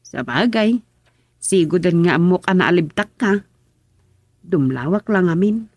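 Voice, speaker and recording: A woman's voice: lowish voice, animated, measured pace, no echo. Coaxing Ilocano village woman, dry sound. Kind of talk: radio serial drama acting.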